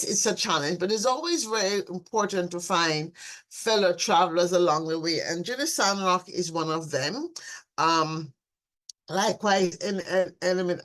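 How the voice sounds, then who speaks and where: Female panel moderator, slightly low voice, conversational questioning. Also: a bit bright.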